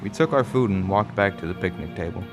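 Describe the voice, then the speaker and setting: A middle-aged man playing a wry, measured father who narrates a strange roadside tale in a radio play. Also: sits low, natural voice. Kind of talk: scripted first-person radio play narration. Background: music.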